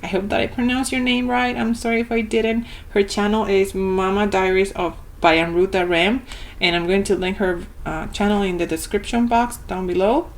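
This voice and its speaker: A woman's voice: mid-range voice, fairly even intonation, normal speed. A young woman from Argentina, a native Spanish speaker with an Argentine Spanish accent, showing a homeschool reading curriculum page by page in a chatty way, with many ums and restarts. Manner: chatty, with frequent ums and self-corrections. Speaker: homeschooling parent reviewing a curriculum. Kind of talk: casual product walkthrough.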